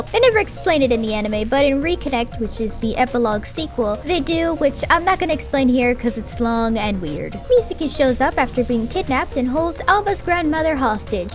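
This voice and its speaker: A woman reviewing an anime in a casual, snarky way, swearing freely and tossing in playful asides. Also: high-pitched.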